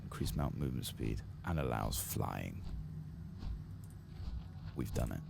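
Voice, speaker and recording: Casting: man with extremely low, gravelly voice, soft-spoken ASMR game streamer, clear background noise. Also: expressive, a little slow. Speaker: streamer playing a fantasy game.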